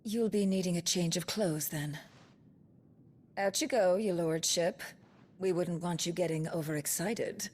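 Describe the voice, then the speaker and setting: A woman playing a brisk, no-nonsense healer in a video game, with dry, mocking manners. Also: darkish tone, close to an everyday voice. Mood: wry. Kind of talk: dramatic game voice acting. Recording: faint rumbling noise behind.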